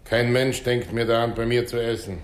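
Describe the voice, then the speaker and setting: A man's voice: mid-range voice, steady tone, normal speed, lightly acted. A man playing a grumbling, aggrieved innkeeper in a 1960s German radio crime drama. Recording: roomy, noisy early-1960s radio recording.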